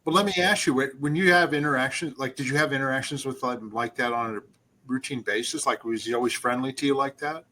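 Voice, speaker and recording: Bright-voiced male interviewer on a video call. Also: medium pitch, quick pace. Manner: asks short, repeated questions. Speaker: host. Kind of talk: casual interviewing.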